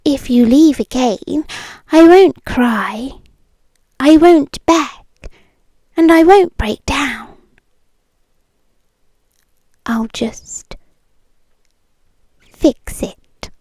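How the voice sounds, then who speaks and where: A British woman playing a possessive, obsessive ex-girlfriend in an intimate ASMR roleplay, speaking softly and coaxingly close to the microphone and often slipping into whispers. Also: high-pitched, dry recording. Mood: quiet menace. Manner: soft, coaxing, slow and deliberate, slipping into whispers. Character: possessive, obsessive yandere ex-girlfriend, tender yet menacing.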